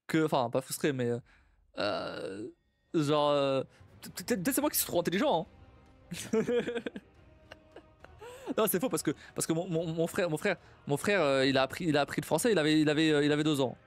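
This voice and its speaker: Halting, slightly high-voiced young man streaming. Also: expressive, faint music behind. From Portuguese family. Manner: halting, many repeats and restarts, laughs often. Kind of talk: casual live-stream chat.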